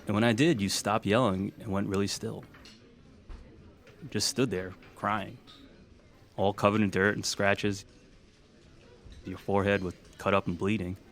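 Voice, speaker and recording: Uneasy man, lowish voice, serious halting storytelling, audio drama, some background ambience. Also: steady tone, a little hiss or hum. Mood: grave, uneasy. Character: uneasy man recounting a frightening childhood memory.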